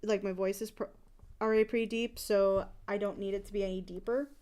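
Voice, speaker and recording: Young woman streamer, casual rambling chat, smooth clear voice, mid pitch. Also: level tone, fairly quick, darkish tone. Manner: rambling, restarts, candid.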